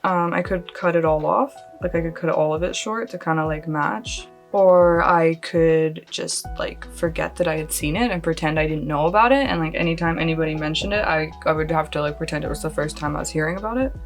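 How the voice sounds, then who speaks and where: A woman's voice: low-pitched, brisk, few pauses, faint music behind. Chatty woman with a half-Californian, half-Australian accent. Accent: mostly Californian with some Australian coming through. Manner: chatty, rambling and self-deprecating, with frequent laughs. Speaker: beauty vlogger. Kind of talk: casual makeup tutorial chat. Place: at home, lit by a close lamp.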